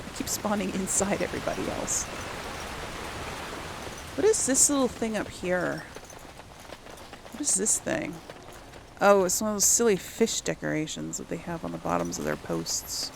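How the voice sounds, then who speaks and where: A woman's voice: medium pitch, sing-song, normal speed, gravelly, long pauses, noisy background. A woman giving casual game commentary as a let's play host, musing aloud to herself.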